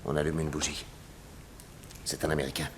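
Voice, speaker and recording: Radio serial detective: deep, urgent, watchful, on a slightly noisy vintage recording. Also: male voice, little pitch movement, a little fast. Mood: hushed urgency. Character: brisk, watchful detective whispering orders in a graveyard. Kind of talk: 1960s French radio serial acting.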